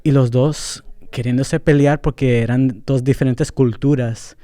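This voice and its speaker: A young adult man with a mellow, warm voice speaks into a close mic in a dry, dead-sounding space. He talks candidly and off the cuff, mixing English with Central American–accented Spanish, with fillers here and there. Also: mid-range voice, fairly even intonation, measured pace, dry recording. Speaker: podcast host. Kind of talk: casual solo podcast monologue.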